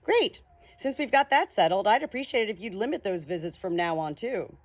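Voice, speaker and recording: A woman's voice: mid-range voice, lively intonation, brisk, pitched above the performer's own voice, lightly acted. A woman voicing a guarded, defiant young female ghost in an audiobook, speaking with control and thinly veiled scorn. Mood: sweetly derisive.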